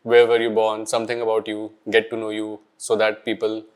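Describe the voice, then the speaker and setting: A man's voice: lowish voice, completely flat delivery, a little fast, clean tone. A man with an Indian accent hosting a podcast, asking questions in mixed English and Hindi and prompting his guest with short interjections. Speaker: podcast host.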